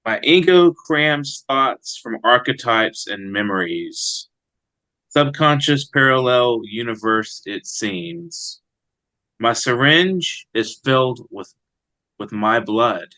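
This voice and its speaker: A man speaking as a poet in an interview, reflective and measured in his manner. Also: mid-range voice, expressive, bright, forceful tone, low-quality recording. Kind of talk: interview answers and poetry reading.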